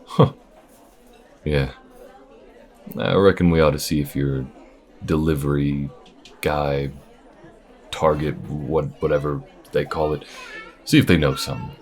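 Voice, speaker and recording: A man voicing an easygoing, wry alien bounty hunter with folksy, unhurried phrasing in an audio roleplay. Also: very deep voice, level tone, a little mellow. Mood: warm, steady. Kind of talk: audio roleplay addressed to the listener. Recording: restaurant chatter behind. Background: restaurant crowd ambience.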